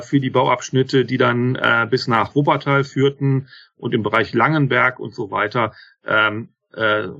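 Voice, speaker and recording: A man in his fifties, guest on an interview podcast, talking haltingly with many filler sounds and self-corrections. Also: medium pitch, vocal fry, a bit bright, highs cut off. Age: 50s. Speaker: interview guest, rail manager. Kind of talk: casual spoken interview.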